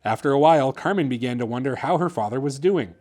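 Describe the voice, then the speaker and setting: A man hosting and narrating a children's bedtime story in an expressive, theatrical way. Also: mid-range voice, quick pace, soft background music. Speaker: host reading children's books aloud.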